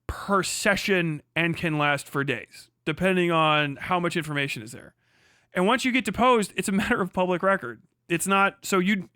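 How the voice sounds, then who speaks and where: A man hosting a casual commentary stream, explaining a topic in a profane, animated, rambling way. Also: high-pitched, no room sound, studio quality. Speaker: streamer host.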